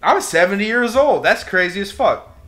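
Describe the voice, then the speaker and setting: A man's voice: high-pitched, expressive, moderate pace, a bit bright. A young man co-hosting a casual podcast, ranting with passion and bursting into emphatic outbursts. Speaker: podcast co-host.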